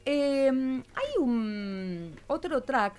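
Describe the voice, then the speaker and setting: Animated Argentine woman hosting radio. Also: high-pitched, extremely slow, smooth. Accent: Rioplatense Argentine. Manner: encouraging, conversational. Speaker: radio host. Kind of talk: informal educational radio interview with schoolchildren.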